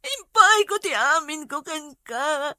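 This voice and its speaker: A heartbroken Filipino wife in a radio drama, played by a woman. Her voice is very high and swings widely, with slow, wounded delivery. She accuses with raw emotion in a close, dry sound. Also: animated, pushed and bright, no echo, exaggerated voice. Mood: tearful anger. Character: betrayed, heartbroken young wife confronting her cheating husband. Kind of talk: Ilocano radio drama acting.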